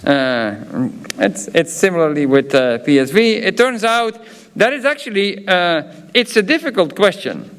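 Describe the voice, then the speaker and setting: A man's voice: high voice, big pitch swings, moderate pace, a bit bright, smooth. A man from Belgium with a Flemish accent, a professor giving a graduation ceremony speech, hesitant and full of filler sounds, with chatty asides. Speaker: professor presenting diplomas.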